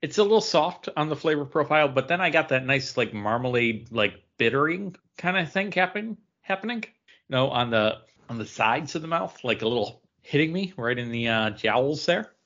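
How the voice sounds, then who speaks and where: A man's voice: mid-range voice, expressive, normal speed, fairly bright tone, muffled recording. A man chatting casually as a podcast co-host, telling stories in a rambling, meandering way. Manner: rambling storyteller. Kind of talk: casual chat.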